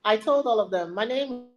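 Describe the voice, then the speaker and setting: A woman with a Nigerian accent speaks in a fairly high voice, leading a motivational webinar. Her delivery is animated and emphatic, and she circles back to repeat her key lines for weight. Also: highs cut off. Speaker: learning and development speaker.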